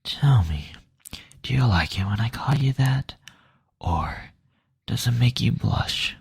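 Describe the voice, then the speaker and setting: A man's voice: medium pitch, lively intonation, slow pace, voice pushed up, full character voice. A man voicing a playful, flirtatious demon lord who coaxes and mocks in intimate roleplay audio. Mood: teasing, flirtatious. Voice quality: breathy, whispery. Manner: coaxing, flirtatious, mocking.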